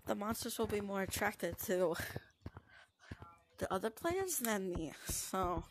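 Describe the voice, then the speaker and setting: A woman's voice: medium pitch, very animated, slow, slight background noise. A young woman casually talking through a game tutorial, explaining each step and often restarting her sentences. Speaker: gaming tutorial narrator. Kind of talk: casual gameplay commentary.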